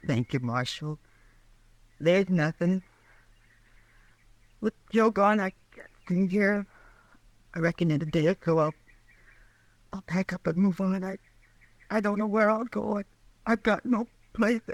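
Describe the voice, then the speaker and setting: An actress playing a grieving, plainspoken frontier widow with a rural American accent in an old radio drama. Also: female voice, mid-range voice, unhurried, pauses often, no echo, close to an everyday voice. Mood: forlorn, faltering.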